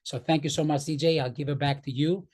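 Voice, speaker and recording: A man's voice: a little high, steady tone, fast talker, darkish tone. A man with a slight Greek accent, speaking earnestly on a video call about his company's products and often repeating words as he goes. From Greek, born in New York. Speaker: vendor presenting his company. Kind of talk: casual business presentation on a video call.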